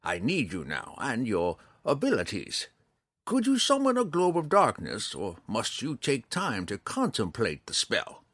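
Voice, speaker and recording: A man voicing a crafty, mischievous old ranger with brisk, theatrical, formal speech in a fantasy audiobook. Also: mid-range voice, huge pitch swings, a bit bright, no room sound, exaggerated voice. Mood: commanding.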